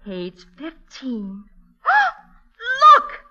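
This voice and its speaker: An actress playing an excitable, girlish younger sister in a 1940s radio comedy. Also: high-pitched, extremely slow, highs cut off, full character voice. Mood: thrilled. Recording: noisy old 1940s radio broadcast.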